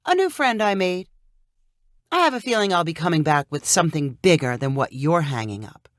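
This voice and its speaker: A woman voicing a confident, teasing professional woman lawyer, brisk and assured, in a short story. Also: lowish voice, very animated, no echo, clean studio sound. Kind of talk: audiobook character dialogue.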